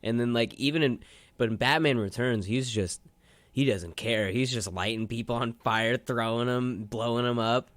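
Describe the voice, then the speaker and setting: A man's voice: mid-range voice, normal speed, fairly bright tone, no room sound. A man co-hosting a casual chat show, talking in a loose, rambling, slangy way. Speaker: podcast co-host.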